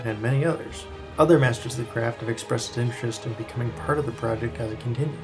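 A man's voice: mid-range voice, steady tone, fast. Man narrating a scripted promo over music. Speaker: writer-director presenting his project. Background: soft music.

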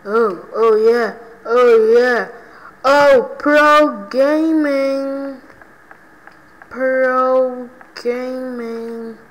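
Slow-talking excitable gamer woman. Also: high-pitched, rough recording. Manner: excitable, reacts loudly to wins and deaths. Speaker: gaming channel host. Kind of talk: let's play commentary.

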